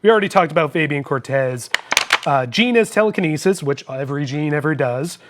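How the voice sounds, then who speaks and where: The co-host at a tabletop hobby show, a man with a slightly high voice who casually explains how each piece plays. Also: lively intonation, brisk, noisy background. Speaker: co-host explaining the game rules.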